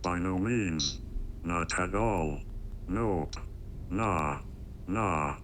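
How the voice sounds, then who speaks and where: A man playing a fanatical computer oracle turned prophet of a dark god, preaching grandiosely in a scripted horror monologue. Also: deep voice, extremely slow, slight background noise, exaggerated voice. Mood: flatly refusing. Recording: music behind the voice.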